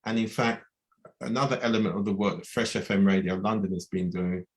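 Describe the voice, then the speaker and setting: Deep, flat-voiced male event host. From United Kingdom. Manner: measured, with repeated restarts. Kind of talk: hosting an online community event.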